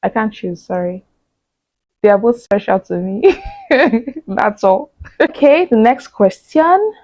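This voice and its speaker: A woman's voice: fairly high pitch, animated, slow, no room sound, muffled recording. A woman in her thirties chatting casually as she answers audience questions, laughing often and thinking aloud. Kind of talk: casual chat.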